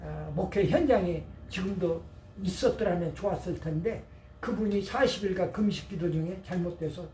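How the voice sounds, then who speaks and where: A man's voice: high voice, normal speed, darkish tone. A middle-aged or older man preaching as a pastor, emphatic and folksy, telling personal anecdotes. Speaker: pastor and former seminary professor. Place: church service. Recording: echoey church, distant mic, faint noise.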